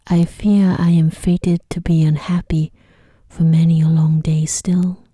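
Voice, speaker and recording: A woman's voice: sits low, little pitch movement, measured pace, warm tone, slightly rough, no room sound, studio quality. Woman whispering as a sorrowful young prince. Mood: sad pleading.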